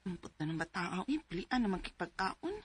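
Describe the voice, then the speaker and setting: A woman's voice: lowish voice, normal speed, breathy edge. A woman playing a married mother of two, doting but easily exasperated, in a radio drama. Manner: expressive, motherly, scolding at times. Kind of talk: radio drama acting with letter narration.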